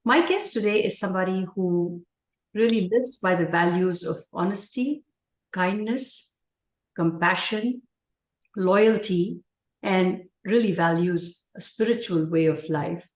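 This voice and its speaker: Woman podcast host; lowish pitch, gentle delivery with fillers, roomy echo. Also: a little slow. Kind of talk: podcast interview hosting.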